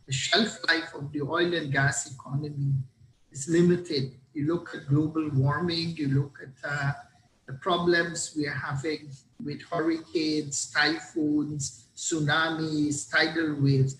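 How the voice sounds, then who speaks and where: A middle-aged man from Guyana speaks into a video call in a slightly raised voice, pausing and reaching for words as he reflects. His room echoes noticeably, and a faint hiss sits under the call. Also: a little high, level tone, a bit bright. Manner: reflective, deliberate, with fillers and pauses. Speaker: business executive speaking at a forum. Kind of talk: speech at an online forum.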